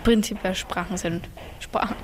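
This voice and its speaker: A woman's voice: medium pitch, fairly even intonation, normal speed. A young girl reporter with a light Tyrolean accent, asking passers-by short questions about languages. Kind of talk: street interviews for a children's radio project. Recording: noisy background.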